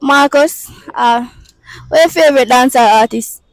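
A young Jamaican woman with a high, bright voice asks passersby questions in an upbeat, playful patois-tinged way. She holds a handheld mic out on a city street, and her voice comes through close and dry. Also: unhurried, no echo. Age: young adult. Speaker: street interviewer. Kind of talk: casual street interviews.

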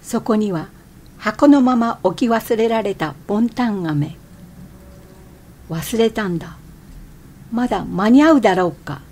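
An actress playing an irritable, changeable young wife in a radio drama, expressive and exclamatory. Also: female voice, mid-range voice, a little slow, long pauses, noisy background, close to an everyday voice. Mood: wistful. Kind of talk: radio drama acting with inner monologue.

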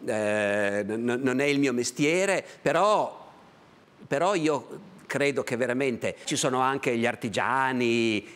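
Uomo sui sessant'anni, storico ospite in tv, italiano, parla animato, microfono a clip, studio televisivo. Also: male voice, medium pitch, slow. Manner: animated, gestures with both hands while explaining. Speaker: historian guest. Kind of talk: lively storytelling on a TV talk show. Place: bright TV studio set. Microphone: lapel mic.

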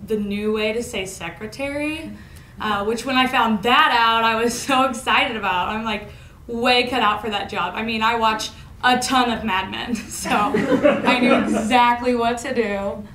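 Young female stand-up from Indiana, deadpan and self-mocking, taped from the back of an echoey hall. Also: on the high side, a little slow. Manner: deadpan, self-mocking, laughs at her own jokes. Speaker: stand-up comedian. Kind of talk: stand-up comedy set. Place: college lecture hall stage. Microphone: far camera in the room. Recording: echoey hall, audible room noise.

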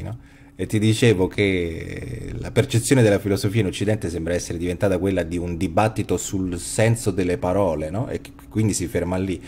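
A man in his forties talks through a headset from home. His voice is very low and mellow, and he thinks aloud, circling back and restarting his phrases. A faint hiss sits under the call. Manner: reflective, restarts often, trails off. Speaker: podcast host. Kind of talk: casual philosophical chat. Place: home room, video call.

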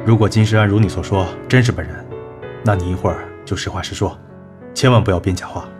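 An actor playing a blunt older company boss in an audio drama, talking curtly and protectively. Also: male voice, fairly low pitch, fast talker, close to an everyday voice. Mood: firm. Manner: curt, scolding and protective. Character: blunt older male executive, protective and gruff. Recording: studio voice with music bed.

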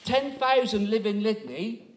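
Sixties male stand-up compere, higher pitch, teasing crowd work, handheld mic, small hall. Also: lively intonation, a little slow. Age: 60s. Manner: chatty, teasing, audience banter. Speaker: comedy compere. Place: small town hall stage, indoors. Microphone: handheld microphone.

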